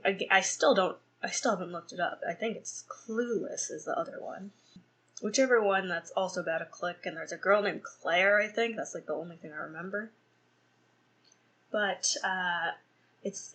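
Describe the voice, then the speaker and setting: A woman's voice: medium pitch, a bit bright. A young woman hosting a solo podcast and reviewing a film, rambling with many fillers and restarts and laughing at her own slips. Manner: laughs at herself. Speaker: podcast host.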